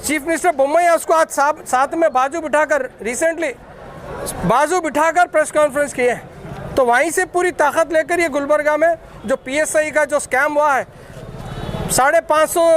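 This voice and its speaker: A man speaks in a very high-pitched voice with a Deccani Urdu accent, delivering an off-the-cuff political statement. He is emphatic and accusatory, repeating his points, with faint street and vehicle noise behind him. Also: fairly bright tone. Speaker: political activist. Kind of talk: impromptu press statement.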